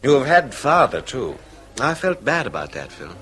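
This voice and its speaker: An actor with an English accent playing an anxious, conventional middle-aged brother in a radio drama, fretful and earnest. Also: male voice, medium pitch, sing-song, moderate pace, fairly bright tone, faint music behind. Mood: worried. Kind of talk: British radio drama acting.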